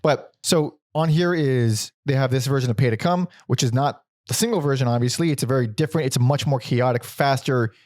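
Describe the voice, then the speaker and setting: A man's voice: mid-range voice, fairly quick, few pauses, a little mellow, no room sound, clean studio sound. Rambling, swearing male podcast host. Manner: rambling, swears casually, laughs often. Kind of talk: casual music discussion.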